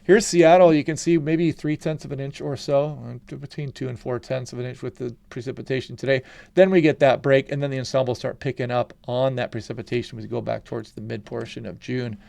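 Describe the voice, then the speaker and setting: Congested man with a gravelly voice. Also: medium pitch, a little fast, no echo. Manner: relaxed, conversational, frequent "you know" and "kinda". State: congested from a head cold. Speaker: weather channel host. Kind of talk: casual forecast walkthrough over weather maps.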